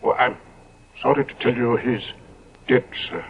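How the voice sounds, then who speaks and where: An actor playing a devoted, anxious manservant in a 1970s radio drama, deferential in manner and hesitant when troubled. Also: male voice, mid-range voice, normal speed, a little hiss or hum, close to an everyday voice. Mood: hesitant, sorrowful. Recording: muffled narrowband old radio recording.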